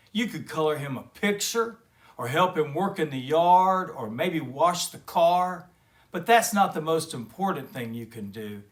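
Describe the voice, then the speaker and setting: A man hosting a children's story show, talking directly to young kids in an enthusiastic, gentle way. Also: fairly high pitch.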